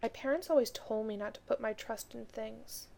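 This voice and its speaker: A woman's voice: a little high, moderate pace, creaky, crowd noise. A woman voicing a reflective starship crewwoman. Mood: wistful. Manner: quiet, reflective monologue. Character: woman aboard a starship, musing alone. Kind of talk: audio drama acting.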